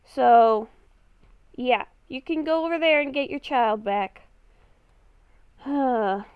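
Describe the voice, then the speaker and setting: A woman improvising in-character commentary for a game roleplay, speaking hesitantly and haltingly, with sarcastic asides. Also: high voice, lively intonation, clean tone, no room sound, lo-fi sound. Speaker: gamer playing a roleplay series.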